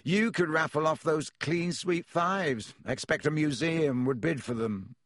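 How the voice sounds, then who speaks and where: A man's voice: on the high side, a little slow, a bit bright, dry recording, studio quality, pitched above the performer's own voice. A man voicing a smug, spiteful, spoilt schoolboy bully in an audiobook, with a drawling delivery.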